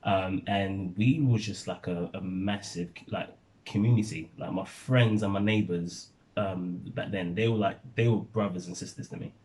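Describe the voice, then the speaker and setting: A young man from Nigeria telling stories in relaxed casual chat, laughing often as a vlogger. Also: low voice, moderate pace, low-quality recording.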